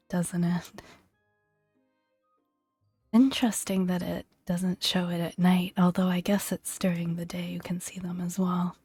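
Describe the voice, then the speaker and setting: Soft breathy woman doing asmr narration, really slow n gentle, faint music behind. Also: on the low side, no room sound. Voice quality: breathy, hushed, near-whisper. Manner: gentle, unhurried, soothing. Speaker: gaming ASMR video creator. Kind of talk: soft-spoken ASMR narration, reading and casual commentary.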